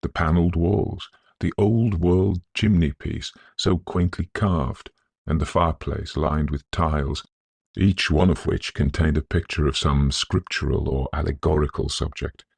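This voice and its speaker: Super low husky guy reading an old ghost story, scholarly and theatrical, dry close sound. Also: steady tone, a little mellow, dry recording. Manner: scholarly, theatrical, measured phrasing. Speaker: narrator. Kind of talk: scripted Victorian ghost story narration.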